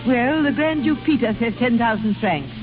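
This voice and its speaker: Woman playing dutiful yet frank lady's maid, lively intonation, tinny vintage broadcast. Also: fairly high pitch, moderate pace, lightly acted. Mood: deferential, frank. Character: deferential but outspoken maid to a grand duchess. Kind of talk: 1930s radio acting. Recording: tinny vintage radio broadcast, loud music behind.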